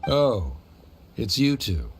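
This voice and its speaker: A man's voice: lowish voice, very animated, takes it slowly, a little hiss or hum, exaggerated voice. A man voicing a reserved, formal middle-aged father in a video game, speaking curtly. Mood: guarded, awkward.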